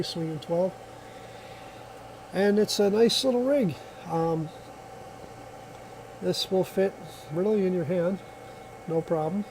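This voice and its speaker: A man's voice: fairly high pitch, a little mellow, slight background noise, low-quality recording. A man giving a casual hands-on product demonstration, explaining step by step in a plainspoken way. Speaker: product reviewer.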